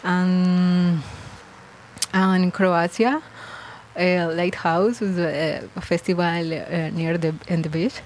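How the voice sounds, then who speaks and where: Soft-spoken Colombian woman, slow halting speech. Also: fairly low pitch, fairly even intonation, slight background noise. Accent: Colombian Spanish. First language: Spanish. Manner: halting, searching for words, laughs often, soft trailing lines. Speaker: guest DJ. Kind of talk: casual interview in non-native English.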